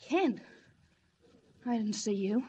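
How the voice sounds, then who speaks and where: A woman playing a feisty, sharp-tongued young reporter in a 1940s radio drama. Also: fairly high pitch, expressive, slow, dull audio. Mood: recovering, scornful. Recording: old radio recording, faint hiss.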